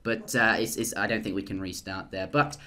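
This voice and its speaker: A young man casting a live strategy game, rambling and jokey, and getting excited at big plays. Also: lowish voice, brisk. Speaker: esports caster.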